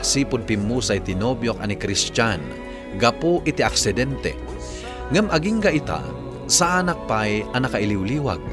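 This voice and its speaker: A man with a Filipino accent hosting and narrating a radio drama in a dramatic scripted style. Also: mid-range voice. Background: music.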